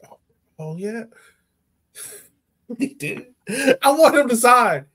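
A man's voice: very high-pitched, very animated, slow pace, pushed and bright. A young man co-hosting a soccer podcast in casual, slangy fan chat over a video call. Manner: slangy, informal. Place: indoor room at home, on a video call.

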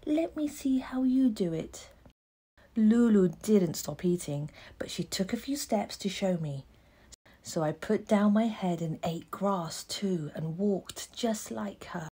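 A woman narrating a children's picture book as a read-aloud, expressive and measured, with pauses. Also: mid-range voice, slightly rough. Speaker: audiobook narrator.

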